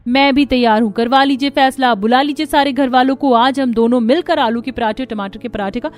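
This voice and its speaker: Cartoon family story: female actor as jealous young daughter-in-law, high voice, emphatic and combative, over faint music. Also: a little fast, natural voice. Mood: competitive, defiant. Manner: emphatic, argumentative. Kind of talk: animated family story voice acting.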